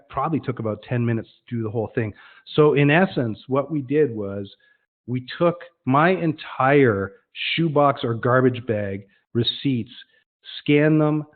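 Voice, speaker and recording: A man giving an informal online software sales demo, explaining conversationally and leaning on key phrases. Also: medium pitch. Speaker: product presenter. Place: online web demonstration call. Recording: muffled phone-like narrow-band audio.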